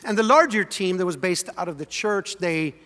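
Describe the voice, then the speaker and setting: A man from Sweden preaches in a bright, forceful voice that rings through a large hall. He tells his story in a casual, animated way. His voice sits a bit high for a man. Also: normal speed. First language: Swedish. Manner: animated, conversational, leads congregation in song. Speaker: pastor. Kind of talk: sermon storytelling. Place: large church sanctuary.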